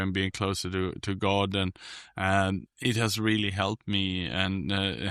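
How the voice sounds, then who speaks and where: A man from Sweden with a Swedish accent, talking reflectively as an interview guest in a measured, earnest way with hesitant phrasing. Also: on the low side, fairly bright tone, clean tone, no room sound, clean studio sound. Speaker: podcast guest, author and coach.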